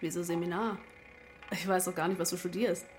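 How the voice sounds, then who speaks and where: A woman's voice: fairly low pitch, steady tone, fairly quick, a little hiss or hum, close to an everyday voice. An actress playing a breezy, forward young woman in a naturalistic radio drama, talking casually in youthful slang. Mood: insistent, coaxing. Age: young adult.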